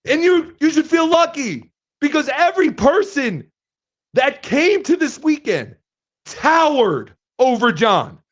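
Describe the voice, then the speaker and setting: A man voicing a brash, boastful online troll who calls himself Daddy, hoarse from shouting. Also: very high voice, big pitch swings, exaggerated voice. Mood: taunting. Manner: boastful, taunting, drawn-out delivery. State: hoarse, losing his voice. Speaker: guest host. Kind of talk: live stream chat. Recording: dull lo-fi stream audio.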